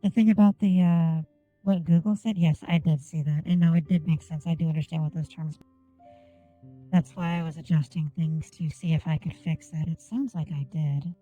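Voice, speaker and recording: Low, dark-voiced woman, deliberate speech. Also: steady tone, dull audio, faint music behind. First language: Japanese. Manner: deliberate, careful enunciation. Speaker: streamer. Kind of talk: casual gameplay stream chat. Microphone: desk microphone on an arm.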